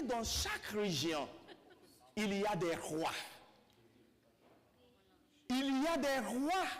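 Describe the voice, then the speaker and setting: High-pitched Nigerian priest preaching in reverberant church. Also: male voice. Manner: rhetorical questions to listeners, repeats phrases for emphasis. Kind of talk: homily to a congregation. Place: large reverberant church indoors.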